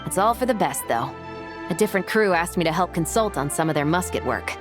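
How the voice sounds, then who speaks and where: A woman's voice: on the low side, lively intonation, fairly quick, over music, natural voice. A woman voicing an earnest, dutiful young musketeer officer in a video game, polite and upbeat. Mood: earnest, helpful.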